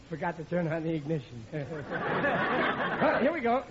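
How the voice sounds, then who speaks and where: Very noisy, muffled old radio comedy audio. a blustering middle-aged American father with a high voice. He is overconfident and easily flustered, stammering his way through sentences. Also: sing-song, slow, a loud crowd. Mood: nervous, sheepish. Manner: stammering, nervous chuckling between phrases. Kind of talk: 1950s radio sitcom acting.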